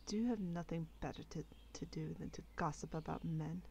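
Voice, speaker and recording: A haughty noblewoman, acted by a woman, speaks in a lowered, mellow voice with cold scorn. She is imperious and condescending, delivering clipped commands in an ASMR fantasy roleplay with slight background noise. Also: a little fast, gravelly, muffled recording. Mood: scornful, commanding.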